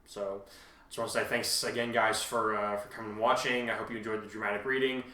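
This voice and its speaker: A man hosting a casual fan discussion, talking in a rambling, halting way and often correcting himself mid-thought. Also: fairly low pitch, level tone, pushed and bright, far from the mic. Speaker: host and fan fiction author. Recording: echoey untreated room.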